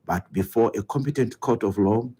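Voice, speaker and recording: A man's voice: fairly low pitch, normal speed, dark tone, husky. A man with a Zambian accent reading a formal official statement as a police spokesman.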